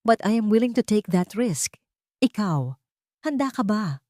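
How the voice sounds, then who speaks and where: A woman voicing a calm, firm male millionaire bent on revenge, speaking with deliberate weight in an audio story. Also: medium pitch, no echo, studio quality, voice pushed up. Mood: stern. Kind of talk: dramatic audio story dialogue.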